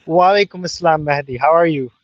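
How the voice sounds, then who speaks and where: A man's voice: fairly high pitch, fast, muffled recording, slight background noise. A man in his twenties from Pakistan, later Canada, a native Urdu speaker, calling from outdoors and telling his story casually with slangy informality. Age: 20s. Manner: informal, with frequent "you know" and slang. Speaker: caller.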